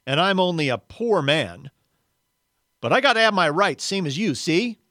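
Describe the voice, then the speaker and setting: Man acting a blustering aggrieved ghost, pitched up. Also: animated, normal speed, pushed and bright, no echo, clean studio sound. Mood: indignant, defensive. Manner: blustering, argumentative, rough colloquial grammar. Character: indignant, aggrieved working-class male ghost demanding his rights.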